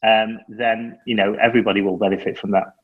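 A man co-hosting a startup podcast, explaining in a measured, explanatory way. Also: fairly low pitch, flat delivery, breathy edge, phone-quality sound. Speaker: podcast co-host and startup founder. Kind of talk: casual podcast chat.

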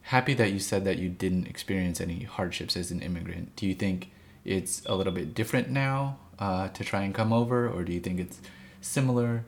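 A man's voice: deep voice, fairly quick, hardly any pauses. A man in his twenties hosting a casual family interview as the interviewer. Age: 20s. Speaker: podcast host, interviewer. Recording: noticeable background noise.

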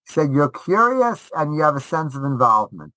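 A man with a bright, forceful voice and a close, dry sound talks casually in an interview. He is animated and emphatic, restating short phrases to drive them home, like a teacher making his point. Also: a little high, a little fast, no room sound, rough recording. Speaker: rabbi and high school teacher.

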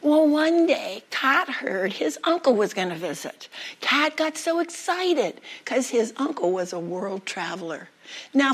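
A woman telling a folktale aloud as a storyteller, with dramatic, deliberate phrasing and pauses. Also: fairly high pitch, husky. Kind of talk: live oral folktale storytelling.

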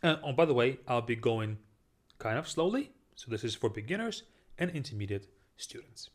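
A young man teaching beginners, speaking deliberately and repeating phrases to translate them. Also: medium pitch, expressive. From Russia. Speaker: language teacher. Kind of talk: slow teaching monologue mixing Russian and English.

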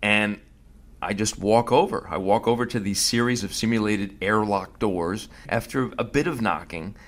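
A man's voice: on the low side, moderate pace. A man hosting a podcast, narrating his visit in a casual, conversational way with fillers and restarts. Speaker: host.

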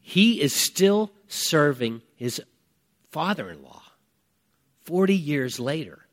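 Higher-pitched man preaching conversationally. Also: expressive, slow pace. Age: 50s. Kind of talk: conversational sermon with personal testimony.

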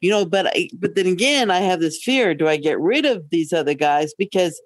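A woman's voice: fairly low pitch, expressive, a little fast, dry recording. A woman co-hosting a podcast in casual conversation, emphatic and often interrupting herself to rephrase. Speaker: podcast co-host. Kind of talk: casual relationship chat.

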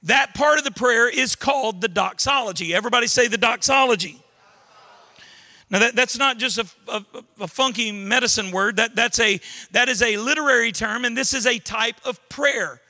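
A man's voice: very high-pitched, moderate pace, sharp tone. A middle-aged man with a Southern accent from Louisiana, preaching a sermon as pastor with folksy jokes, asides and frequent restarts.

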